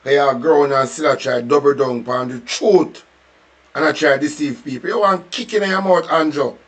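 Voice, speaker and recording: An angry man with a thick Jamaican accent tears into someone in a running tirade, profane and mocking. His voice sits a little higher than most men's. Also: moderate pace. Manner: furious, profane, taunting tirade. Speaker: reaction commentator. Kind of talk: ranting reaction commentary.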